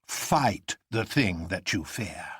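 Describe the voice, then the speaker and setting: A man voicing an excitable, reckless young man in a storybook reading, with an impassioned, declamatory manner. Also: sits low, slow pace, breathy edge, dry recording, studio quality, exaggerated voice. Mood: exultant.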